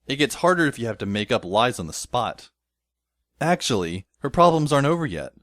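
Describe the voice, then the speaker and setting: A man's voice: medium pitch, sing-song, brisk, a bit bright, dry recording. A man playing a sardonic, exasperated teenage schoolboy who narrates and acts his own story in an amateur audio drama, wordy and dry. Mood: evasive. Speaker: narrator and lead character. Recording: faint music behind the voice. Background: faint music.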